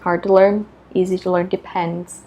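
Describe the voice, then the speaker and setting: A woman from Vietnam with a Vietnamese accent, chatting casually as she answers questions in halting, thoughtful bits with short punchy answers. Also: lowish voice, flat delivery, dull audio. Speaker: video creator answering questions. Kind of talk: casual chat to camera.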